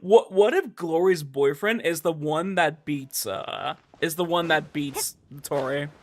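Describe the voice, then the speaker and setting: A man streaming a game and chatting casually, rambling and excitable, repeating himself for emphasis. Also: on the high side, slow. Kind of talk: casual livestream chat about a game. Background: faint music.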